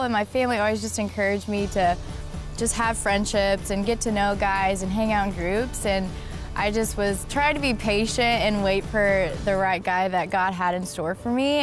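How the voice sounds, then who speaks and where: A young adult woman telling her own love story in a casual, personal way. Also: mid-range voice.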